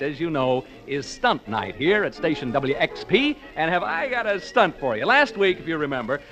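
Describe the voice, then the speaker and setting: A brash, breezy radio disc jockey. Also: male voice, fairly high pitch, fairly bright tone. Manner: breezy, boastful patter. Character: brash, wisecracking male disc jockey showman. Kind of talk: 1940s radio acting. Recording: 1940s radio broadcast with music behind.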